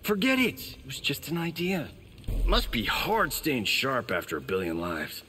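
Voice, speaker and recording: A man's voice: medium pitch, wildly swooping pitch, measured pace, bright, forceful tone, in a large hall, a little hiss or hum, heavily acted. A man playing a cocky, wisecracking, vain movie star fighter in a video game, with a flippant, showy delivery.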